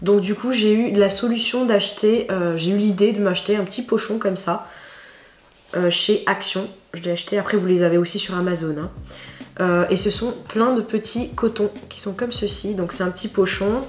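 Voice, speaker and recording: A French woman vlogging in a casual chat, hoarse and stuffed up from a cold, talking with many fillers and restarts. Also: medium pitch, fairly even intonation, normal speed. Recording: echoey room with faint noise.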